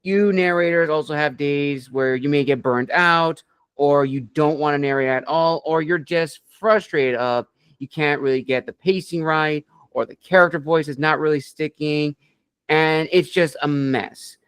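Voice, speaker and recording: A man with a fairly high, smooth, clear voice hosts an interview and asks long, winding questions. He praises his guest with eager enthusiasm. The sound is dry and close. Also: a little slow, a bit bright, no echo. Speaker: podcast interview host.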